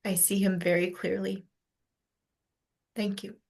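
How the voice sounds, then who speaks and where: A woman playing a gentle, candid, formally spoken confidante in an informal script read-through. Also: mid-range voice, flat delivery, creaky. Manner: measured, literary phrasing.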